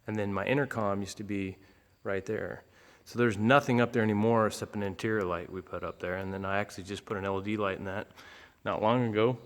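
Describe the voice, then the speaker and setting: A man with a fairly low voice talks through things casually and without a script. His delivery is folksy and rambling, with halting restarts. The sound rings with long reverb, like a big indoor space. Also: fairly even intonation. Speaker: aircraft owner and pilot. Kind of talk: casual unscripted walkthrough.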